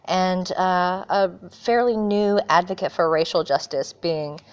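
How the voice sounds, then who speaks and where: Low-voiced American woman, thoughtful. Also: smooth. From California, USA. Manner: earnest, thoughtful, with frequent pauses and fillers. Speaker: guest. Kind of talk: reflective interview answers. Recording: dull lo-fi remote audio.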